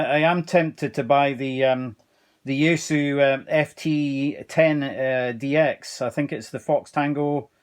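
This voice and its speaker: A man talking casually as an amateur radio operator, with halting phrasing and radio procedure words. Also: medium pitch. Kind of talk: casual ham radio exchange.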